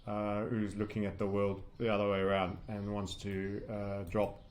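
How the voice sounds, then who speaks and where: A man's voice: lowish voice, completely flat delivery, normal speed. A man talking through technical analysis off the cuff as a trading educator, rambling and heavy on jargon with colourful asides. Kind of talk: unscripted technical chart commentary.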